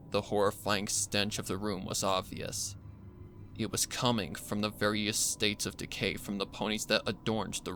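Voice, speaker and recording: Low-voiced man narrating a horror story. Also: pushed and bright. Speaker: fan fiction narrator. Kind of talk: dramatic scripted horror narration.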